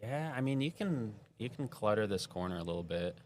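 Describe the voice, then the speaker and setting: A man from upstate New York calling a live pool match, talking through shots in a calm, analytical way. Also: fairly low pitch, brisk, a little hiss or hum. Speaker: pool commentator.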